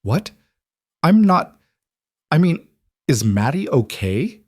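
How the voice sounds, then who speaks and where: A man's voice: fairly low pitch, sing-song, normal speed, dry recording. Male narrator as a tender woman in an audiobook drama, careful and remorseful, dry close sound. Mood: alarmed. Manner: gentle, careful, earnest. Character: tender, guilt-ridden woman comforting a child.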